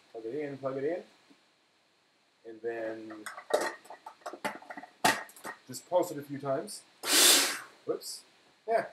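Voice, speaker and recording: A man hosting a casual cooking demonstration, rambling and correcting himself as he talks through the steps while he works. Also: medium pitch. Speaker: live stream host. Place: indoor kitchen at a counter. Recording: echoey room, clear background noise.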